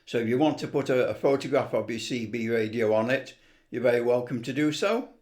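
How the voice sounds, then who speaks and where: A man's voice: mid-range voice, brisk, a little mellow. Man casually narrating a homemade tutorial. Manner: unscripted, rambling, talks to himself while working. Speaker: amateur video presenter, CB radio hobbyist.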